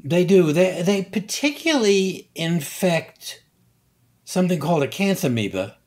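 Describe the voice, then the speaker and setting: An older man, a scientist guest, explaining slowly and deliberately with many hesitations. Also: high-pitched, very animated, darkish tone. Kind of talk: conversational science interview.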